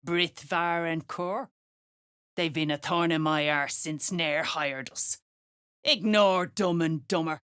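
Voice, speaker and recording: A woman playing a gruff, foul-mouthed female duergar overseer in a video game, curt and contemptuous. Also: low voice, slow pace, fairly bright tone. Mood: dry, scornful. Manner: curt, cursing, contemptuous slang. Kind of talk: video game character dialogue.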